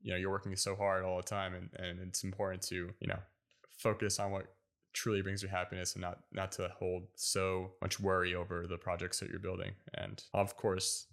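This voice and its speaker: A man's voice: low-pitched, completely flat delivery, normal speed, vocal fry, fairly bright tone. A man from the United States hosting a casual interview, asking questions and encouraging his guest in a friendly way. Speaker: interviewer, host.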